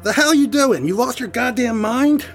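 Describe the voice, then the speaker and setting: A man's voice: very high voice, animated, normal speed, music in the background. A man acting in an audio horror drama as an urgent, slangy rock musician desperate to hold his band together. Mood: incredulous, urgent.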